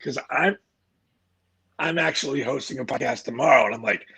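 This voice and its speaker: A middle-aged man with a hoarse, raspy voice, chatting as a guest over a video call from home, friendly and halting with many uhs. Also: medium pitch, a crowd in the background. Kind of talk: casual interview chat. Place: home room. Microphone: video call webcam.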